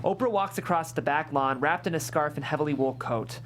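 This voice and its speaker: A woman's voice: low-pitched, a little fast, slight background noise. A woman reading a screenplay's scene directions as scripted narration at a table read. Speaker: stage-direction reader.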